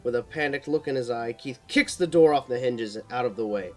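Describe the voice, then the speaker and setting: A man's voice: mid-range voice, a little fast, darkish tone, soft background music. A man narrating a supernatural horror story in a dramatic read-aloud style, sometimes stumbling over the text.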